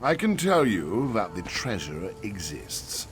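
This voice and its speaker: A man voicing a weary, cautious old survivor in a fantasy video game, speaking gravely and with doubt. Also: on the low side, very animated, slow, exaggerated voice. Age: older. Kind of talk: AI-voiced fantasy game dialogue. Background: game music.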